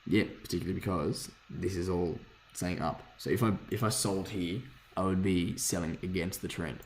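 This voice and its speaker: A man with a hoarse voice casually thinking aloud while reviewing trading charts, rambling, swearing freely and correcting himself. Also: sits low, fairly even intonation, moderate pace, slight background noise, low-quality recording. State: hoarse, lost voice from screaming. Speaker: forex trader reviewing charts.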